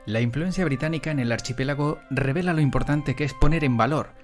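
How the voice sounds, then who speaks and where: A man's voice: medium pitch, quick pace, music in the background. A man presenting and interviewing as a podcast host. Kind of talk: scripted intro narration and interviewing.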